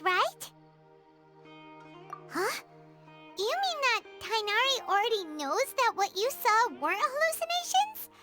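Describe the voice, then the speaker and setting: A tiny fairy companion from a video game. The voice is extremely high and childlike, swinging animatedly through chatty, fretful exclamations. Clear game music plays behind it. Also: female voice, heavily acted. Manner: refers to self in third person, exclamatory.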